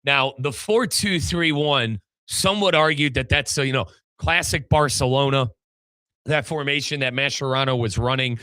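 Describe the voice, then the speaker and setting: A man's voice: medium pitch, normal speed, pushed and bright, clear, resonant tone, no echo. A man hosting a soccer talk show, giving emphatic, opinionated analysis. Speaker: sports talk show host.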